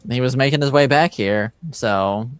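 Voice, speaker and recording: A man improvising narration as game master in a tabletop roleplaying game, pausing often. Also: medium pitch, smooth, dry recording.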